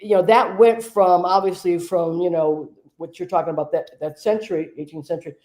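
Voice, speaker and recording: A woman hosting a conversation, a medium telling stories in a relaxed, discursive way. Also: fairly low pitch, a little fast. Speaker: host and trance medium. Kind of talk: casual conversation.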